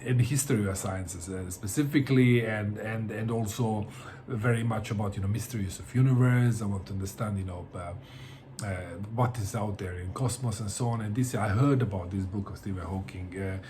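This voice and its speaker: A man's voice: on the low side, a little fast, warm tone, a little hiss or hum. A middle-aged man from Bosnia, talking casually to camera about books, hesitant, with many fillers and repeated words. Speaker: book vlogger.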